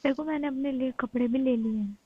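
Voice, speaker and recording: A woman's voice: sits high, brisk, crowd noise. Young woman on a tinny phone line. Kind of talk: casual intimate phone chat between lovers. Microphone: phone call.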